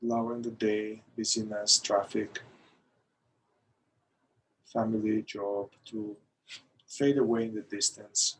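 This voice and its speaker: A man leading a guided meditation in a calm, gentle manner, pausing between short phrases. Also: fairly low pitch, slight background noise, poor audio. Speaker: meditation guide, somatic therapist.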